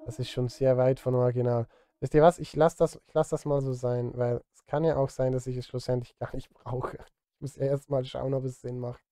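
A man's voice: mid-range voice, level tone, fairly quick, dark tone, clear, resonant tone, no echo, clean studio sound. A man in his thirties chatting casually to livestream viewers while he works, self-deprecating and direct. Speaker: music streamer and composer. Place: indoor home studio.

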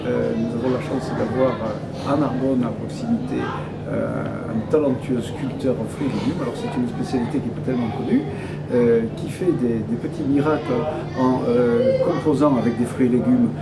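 A man in his fifties explaining in an interview, measured and hesitant, often pausing mid-phrase. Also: mid-range voice, over loud music, rough recording. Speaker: restaurant owner interviewee. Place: indoor restaurant room. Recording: loud ambient noise behind.

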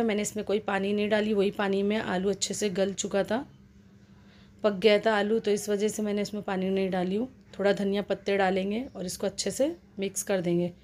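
Even-toned Indian woman explaining a recipe. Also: medium pitch, a little hiss or hum. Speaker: home cook and vlog host. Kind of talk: recipe voice-over narration, casual step-by-step explaining.

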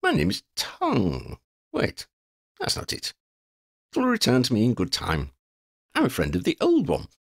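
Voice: medium pitch, wildly swooping pitch, moderate pace, gravelly, long pauses, husky, no echo, studio quality, pitched above the performer's own voice, heavily acted. A man voicing a gangly, muddle-headed wandering vagabond in a storybook, with quaint old-fashioned phrasing and a rambling, easily distracted manner. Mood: musing.

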